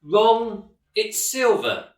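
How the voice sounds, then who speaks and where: Woman playing a vain, slow-talking footballer. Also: a little high, big pitch swings, heavily acted. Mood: dismissive, cocky. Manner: dismissive, stubborn. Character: vain, defensive, cocky star footballer. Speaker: actor in a radio play. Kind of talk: radio drama acting. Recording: roomy, distant microphone.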